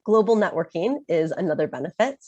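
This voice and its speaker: A woman's voice: on the low side, animated, brisk, mellow, husky, no echo, dull audio. A woman in her thirties from Chicago with an American accent, presenting an informational webinar while recovering from a cold, with frequent um fillers. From Chicago, USA. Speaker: study-abroad advisor, webinar presenter.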